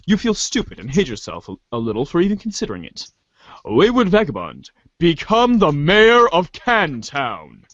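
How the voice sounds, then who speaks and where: A man's voice: on the high side, huge pitch swings, moderate pace, fairly bright tone, no room sound, lo-fi sound. A man giving an amateur dramatic reading of narration, theatrical in delivery and now and then stumbling over the text. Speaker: narrator reading a webcomic aloud.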